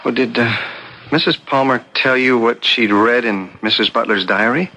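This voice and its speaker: A man's voice: mid-range voice, steady tone, normal speed, pushed and bright. An actor in a 1970s radio drama playing a puzzled, conscientious man who speaks in a hesitant, earnest, questioning way. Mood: earnest, insistent. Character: uneasy, puzzled writing adviser Mister Monroe, conscientious man. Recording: noisy old radio broadcast recording.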